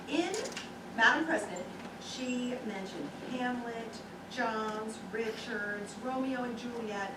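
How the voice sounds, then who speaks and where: Woman festival director, higher voice, informal stage introduction, distant and roomy recording. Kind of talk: casual spoken introduction to live audience. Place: small theater stage. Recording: echoey theater from far off, loud noise.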